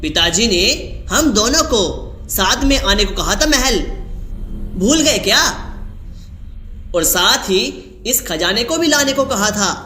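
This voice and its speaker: A man's voice: high-pitched, expressive, takes it slowly, pushed and bright, reverberant, slight background noise, pitched above the performer's own voice, full character voice. A man voicing an honest young cartoon prince who speaks with indignation. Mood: reproachful.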